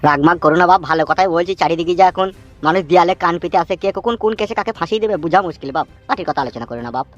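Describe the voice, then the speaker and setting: Woman playing fast-talking grumpy old tea-stall keeper. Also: fairly low pitch, muffled recording, faint music behind, lightly acted. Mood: wary, pleading. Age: older. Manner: scolding, repetitive, coarse. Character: irritable older tea-stall keeper, scolding political veteran. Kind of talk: comic cartoon dialogue in rural dialect.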